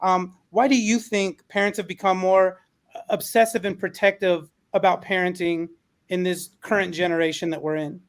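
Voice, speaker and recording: A man's voice: sits high, fairly even intonation, moderate pace. A man moderating a panel discussion in an encouraging, conversational way, as an educator hosting questions.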